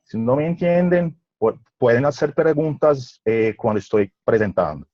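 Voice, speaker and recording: A man's voice: mid-range voice, animated, normal speed, darkish tone, no echo. A man from Brazil with a Portuguese accent, speaking hesitantly as a guest lecturer over a video call on a headset microphone. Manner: hesitant, stumbling over words. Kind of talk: video conference talk. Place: room in a house. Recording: muffled, choppy internet call audio.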